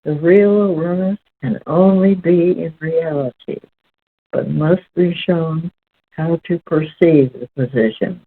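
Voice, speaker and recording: A woman reading spiritual text aloud as host, in a measured, deliberate way with halting phrasing. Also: low voice, slight background noise. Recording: muffled, very narrow lo-fi sound.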